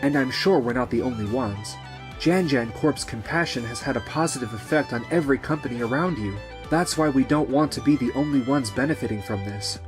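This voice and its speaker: A man's voice: mid-range voice, fairly quick, over music, close to an everyday voice. A man playing an earnest, courteous businessman in a manga dub. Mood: earnest, grateful. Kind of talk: manga dub character acting.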